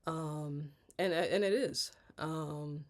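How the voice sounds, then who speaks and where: A congested woman in her thirties talking candidly in a personal vlog, halting and rambling, often restarting and trailing off. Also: deep voice, a little mellow. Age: 30s. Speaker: vlogger.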